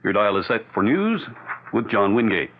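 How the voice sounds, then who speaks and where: Male American continuity announcer: formal script, lively intonation, muffled vintage radio broadcast. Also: fairly low pitch, moderate pace. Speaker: station announcer.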